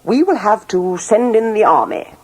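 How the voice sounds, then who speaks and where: A woman voicing a cross, officious male small-town mayor in a children's story, brisk and pompous. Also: medium pitch, sing-song, voice pushed up. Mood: determined.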